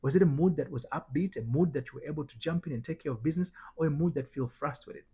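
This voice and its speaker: A man's voice: on the high side, quick pace, mellow, husky. A man from Tanzania with a Tanzanian accent, giving motivational spiritual teaching in an emphatic, repetitive way with rhetorical questions. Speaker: host, spiritual transformation coach.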